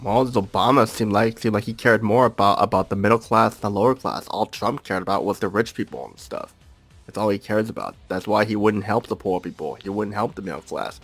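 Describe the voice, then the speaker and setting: Man, gravelly voice, low, rambling guest on a call. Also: fairly quick, a bit bright, no room sound, faint music behind. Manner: rambling, repeats "and everything like that". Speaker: podcast guest. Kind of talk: casual political discussion. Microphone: call recorder.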